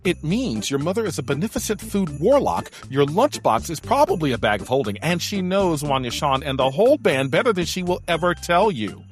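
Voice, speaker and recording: A woman playing an excitable, grandiose young adventurer in a science fiction audio drama, speaking in a florid, mock-heroic way. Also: sits low, fairly quick, few pauses. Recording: music under the voice.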